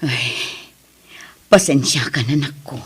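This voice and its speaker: A woman with an Ilocano accent playing a gentle, protective middle-aged mother in a radio drama, soft-spoken and hesitant. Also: very deep voice, measured pace, husky, exaggerated voice. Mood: sorrowful.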